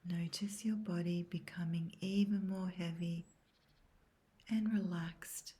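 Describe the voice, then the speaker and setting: Slow, hushed woman guiding meditation. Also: fairly low pitch. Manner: soft, breathy, hushed, near-whispered delivery; calm, measured, soothing instruction. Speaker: guided meditation instructor. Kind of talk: guided yoga nidra meditation, scripted.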